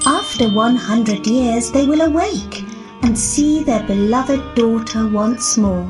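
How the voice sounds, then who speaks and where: A woman voicing a kindly, caring fairy in a children's storybook, speaking in a deliberate, gentle manner. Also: on the high side, lively intonation, music in the background. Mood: gentle, reassuring. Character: kindly, caring fairy planning a magical sleep. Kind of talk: scripted children's storybook acting.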